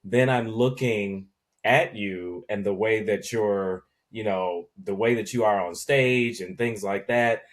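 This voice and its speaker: A man hosting a casual interview, relaxed and conversational, reacting with short affirmations. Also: on the low side, takes it slowly. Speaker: host.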